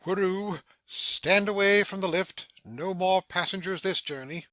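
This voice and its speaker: A man playing an excitable, fond, fussy older uncle in a stage comedy audio play, stammering and repeating himself effusively. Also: high voice, a little slow, dry recording, poor audio. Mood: gleeful.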